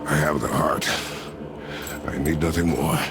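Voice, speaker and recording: An actor playing a grim, stern warrior god in a video game, gravelly and terse. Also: male voice, a bass voice, steady tone, a little slow, music in the background. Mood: stern, guarded. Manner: terse, clipped short sentences. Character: grim middle-aged warrior god, stern, brooding father. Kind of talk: dramatic video game acting.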